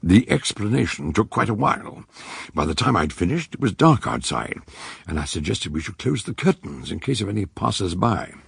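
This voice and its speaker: An actor playing an eccentric, wordy older British detective doctor in a radio drama, genial and courteous, with a gravelly, husky voice. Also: male voice, on the low side, sing-song, heavily acted. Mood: grave, deliberate. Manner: wordy, deliberate, old-fashioned courtesy.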